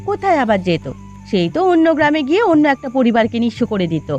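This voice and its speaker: A woman playing a touchy, expressive village family woman in a cartoon, indignant in manner. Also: sits high, quick pace, lo-fi sound, soft background music. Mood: resigned. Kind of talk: cartoon voice acting.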